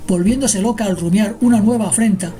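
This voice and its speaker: Mellow female radio drama narrator. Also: medium pitch, fairly quick. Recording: music behind the narration.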